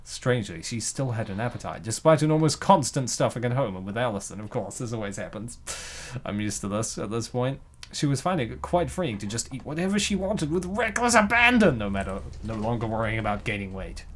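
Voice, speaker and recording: A man's voice: mid-range voice, sing-song, fairly quick. A man narrating a comic dub with playful, slangy joking asides.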